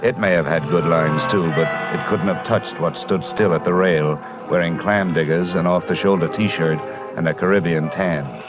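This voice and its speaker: An actor playing a hard-boiled, wisecracking insurance investigator in old-time radio detective style, clipped and sardonic. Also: male voice, low-pitched, moderate pace, over music, lightly acted. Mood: dry narration. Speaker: lead investigator and narrator. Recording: hissy vintage radio recording.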